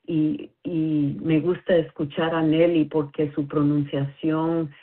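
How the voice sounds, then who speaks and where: Woman, non-native speaker, slow, hesitant, lots of fillers, tinny phone line. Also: low voice, little pitch movement. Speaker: caller. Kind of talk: bible study message.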